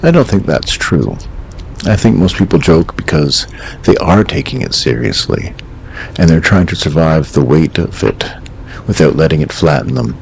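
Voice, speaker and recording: A man acting as a late-night radio host with a low, creaky voice. He is calm and dryly wry, delivering eerie scripted monologues in a measured, reflective way. There is faint noise behind him. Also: full character voice.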